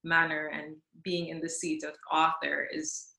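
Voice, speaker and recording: Bright-voiced woman from Jamaica, echoey room. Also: low voice, unhurried, vocal fry. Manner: expressive, enthusiastic. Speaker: guest. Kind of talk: interview storytelling.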